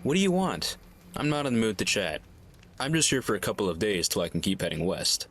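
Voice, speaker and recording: Male NPC drifter: surly, guarded, slightly low pitch, curt delivery, light ambient noise. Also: big pitch swings, a little fast, fairly bright tone. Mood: irritable, suspicious. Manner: curt, irritable. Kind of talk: video game dialogue acting.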